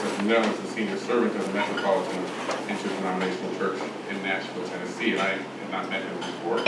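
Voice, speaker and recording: Soft-spoken man in echoey hall. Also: sits low, very noisy. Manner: halting, many fillers and restarts. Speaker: conference speaker. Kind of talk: speech at a podium, personal story. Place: conference hall. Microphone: podium microphone. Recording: echoey hall from far off, with clatter of dishes.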